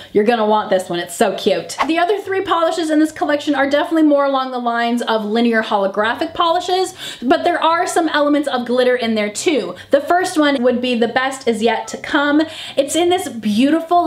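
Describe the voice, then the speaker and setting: A woman reviewer giving a casual product review and tutorial in a chatty, gushing way, repeating words for emphasis. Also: fairly high pitch. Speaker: nail polish reviewer and vlogger.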